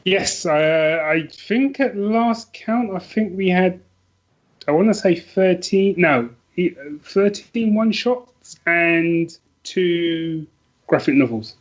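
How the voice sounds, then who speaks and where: A man's voice: high-pitched, takes it slowly, highs cut off. A man chatting casually as an interview guest, self-deprecating and prone to rambling asides. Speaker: podcast guest, author.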